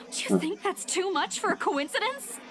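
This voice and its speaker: An urgent, pleading young heroine. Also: female voice, very high voice, big pitch swings, moderate pace, fairly bright tone, a little hiss or hum, exaggerated voice. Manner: urgent, insistent. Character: desperate young woman pleading for help. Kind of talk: video game voice acting.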